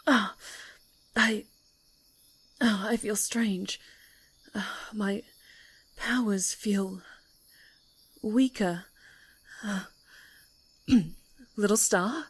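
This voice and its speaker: A woman playing an obsessive villainess superhero in an intimate, theatrical ASMR roleplay, with breathy, halting delivery. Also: on the high side, heavily acted. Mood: confused, uneasy. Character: obsessive yandere superhero villainess, pleading and enraged.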